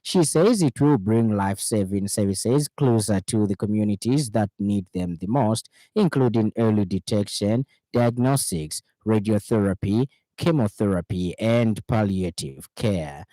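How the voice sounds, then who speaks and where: A man with a Zambian accent reads the news on radio. His voice is lowish and dark, with little edge to it. He is formal and measured, in a dry, close studio sound. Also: no room sound, low-quality recording. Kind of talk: scripted news bulletin reading.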